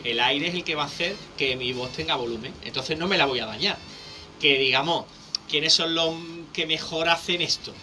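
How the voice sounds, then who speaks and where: Man, speaking coach, lively teacherly explaining, very bright forceful tone, faint background hiss. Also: medium pitch. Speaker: public speaking coach, guest. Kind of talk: conversational radio interview.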